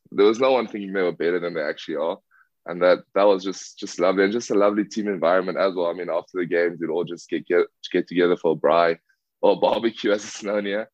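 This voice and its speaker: Brief: young South African man, very low voice, hesitant rambling, dry dull lo-fi sound. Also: no echo. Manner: hesitant, many fillers and restarts. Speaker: rugby player guest. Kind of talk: casual interview answers.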